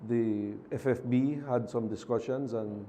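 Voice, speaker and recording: A man who is a city mayor, speaking as a TV studio guest in measured, formal terms. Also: mid-range voice, steady tone, warm tone, slight background noise. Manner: measured, formal civic phrasing. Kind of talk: TV interview guest.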